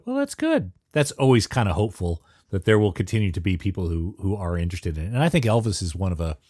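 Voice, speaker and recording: A man hosting a podcast with a low voice that moves up and down in a lively, animated way. He keeps his questions short and prompting, and the sound is dry and close. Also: quick pace, no echo. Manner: asks brief, prompting questions. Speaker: podcast host. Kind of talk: casual interview chat.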